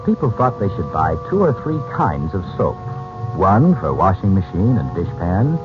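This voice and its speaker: Animated low-voiced American radio announcer. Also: male voice. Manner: polished, emphatic salesmanship. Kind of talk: 1940s radio advertising pitch. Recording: muffled old radio recording with music.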